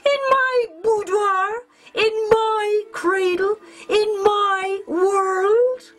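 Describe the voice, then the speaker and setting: Shrill, gloating wicked banshee. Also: female voice, steady tone, very slow, full character voice. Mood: shrieking fury. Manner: theatrical, screaming, menacing. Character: wicked gloating banshee, shrieking female spirit villain. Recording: music under the voice.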